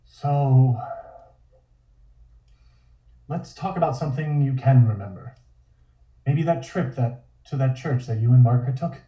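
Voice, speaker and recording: A mild detective, played by a man, questions tentatively in a very dark, mellow voice. The recording is dull and echoey, away from the mic. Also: medium pitch, unhurried, long pauses, recorded from a distance, close to an everyday voice. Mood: tentative, probing. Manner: hesitant, tentative.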